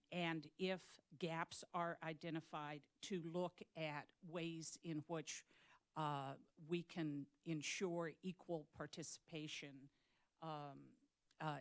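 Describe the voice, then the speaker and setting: A woman with a notably low voice answers a candidate forum question. She speaks in a measured, careful way and pauses often on fillers. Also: smooth. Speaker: board candidate.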